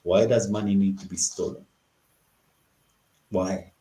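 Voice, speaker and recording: A man's voice: low voice, steady tone, measured pace, mellow, a little hiss or hum. A middle-aged man from Ethiopia, an interview guest giving impassioned political commentary, asking rhetorical questions and restarting his sentences often. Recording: roomy echo.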